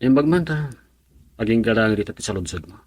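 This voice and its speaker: A man's voice: on the low side, expressive, fairly quick, darkish tone, dry recording. A man playing an earnest, troubled young husband in a radio drama. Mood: upset.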